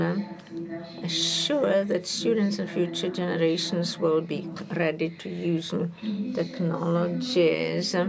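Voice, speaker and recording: Slow, gravelly woman on a video call. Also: fairly low pitch, rough recording. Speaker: speaker joining a conference remotely. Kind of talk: conference presentation. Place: hospital room, speaking into a conference hall.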